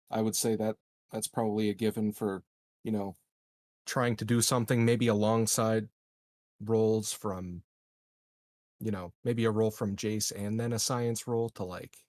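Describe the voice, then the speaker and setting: Low-voiced man playing a tabletop game. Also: a little mellow, no echo, clean studio sound. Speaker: player in a tabletop roleplaying game. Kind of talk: casual game discussion.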